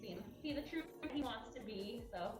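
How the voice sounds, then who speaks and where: A woman chatting casually on a livestream with hesitant, halting phrasing. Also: a little high, lively intonation. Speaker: cat cafe staff member. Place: indoor cat cafe room. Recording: echoey room, music behind. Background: music.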